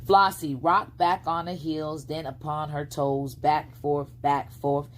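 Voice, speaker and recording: A woman's voice: deep voice, fairly even intonation, takes it slowly, a little hiss or hum. A woman reading a bedtime storybook aloud as its narrator. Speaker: storybook reader.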